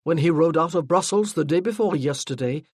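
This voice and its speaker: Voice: medium pitch, a little fast, slightly rough, no echo, clean studio sound. An audiobook narrator voicing a courteous but steely nobleman with a French accent. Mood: firm. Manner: calm, firm, courteous.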